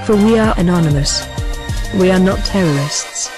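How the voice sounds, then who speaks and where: Mid-pitched androgynous voice, scripted manifesto reading, solemn, formal, declarative statements, music behind. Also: moderate pace. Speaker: spokesperson for an activist group. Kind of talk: scripted political manifesto address. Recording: voice over background music.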